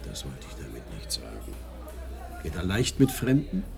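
A man's voice: low-pitched, huge pitch swings, normal speed, vocal fry, a little mellow, full character voice. An actor in a radio play voicing a courteous, concerned man with a theatrical way of talking. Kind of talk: German radio play acting.

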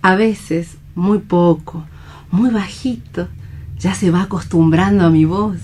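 A woman's voice: on the low side, takes it slowly, darkish tone, slight background noise. An actress playing a devoted, earnest young woman with a lyrical, emotive delivery in a radio drama. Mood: gentle. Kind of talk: radio theatre dramatic reading.

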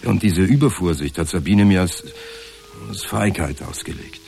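An actor playing an insecure, downtrodden insurance clerk in a radio drama, talking in a halting, self-pitying way. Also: male voice, low-pitched, gravelly, darkish tone, soft background music. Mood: hesitant, aggrieved. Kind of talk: naturalistic radio drama acting.

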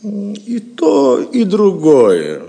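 Swooping, sardonic knight, reverberant. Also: male voice, high voice, wildly swooping pitch, very slow, gravelly, dark tone, full character voice. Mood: sardonic relish. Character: cynical, greedy Norman knight, sardonic and boastful. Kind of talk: theatrical audio drama acting. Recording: reverberant hall-like sound.

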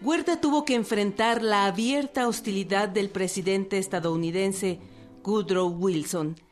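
A woman's voice: medium pitch, unhurried, faint music behind. A woman giving scripted narration for a historical radio programme.

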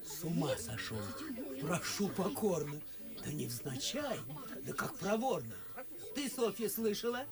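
A woman's voice: fairly low pitch, very animated, measured pace, a crowd in the background. A woman playing a blunt, grumbling old society lady in a radio verse comedy. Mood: gruff surprise. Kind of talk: classic verse comedy radio play acting.

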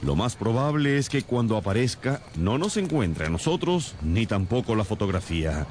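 Un actor que interpreta a un detective brillante, formal y preciso en una radionovela. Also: male voice, lowish voice, sing-song, moderate pace, a little mellow, a little hiss or hum. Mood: confident. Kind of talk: radio drama acting.

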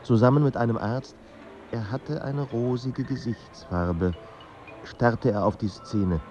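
A man narrating a melancholy literary radio drama in a measured, sombre manner. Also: medium pitch, mellow, soft background music, close to an everyday voice.

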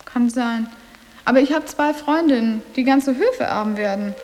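A woman's voice: fairly high pitch, moderate pace, a little mellow, natural voice. A woman playing a cool, sarcastic teenage boarding school girl with a dry, mocking delivery in a radio drama. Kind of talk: German literary radio drama.

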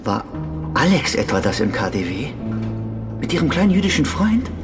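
An actor playing a crude, jeering young gang member from the streets in a German radio drama, mocking and slangy. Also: male voice, on the high side, sing-song, normal speed, music in the background. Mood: sneering.

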